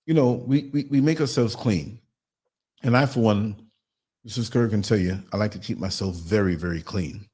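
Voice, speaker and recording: A man hosting a live online show, talking in a relaxed, chatty way. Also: on the low side, moderate pace, husky. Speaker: podcast host. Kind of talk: casual live-stream talk.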